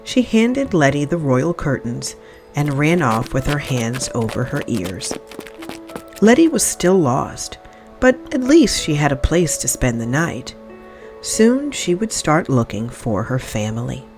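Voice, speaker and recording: A woman with a low voice reads a picture book aloud for children. She swings widely in pitch and phrases each line dramatically and deliberately. Music plays softly behind her. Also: very animated. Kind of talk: expressive children's read-aloud narration.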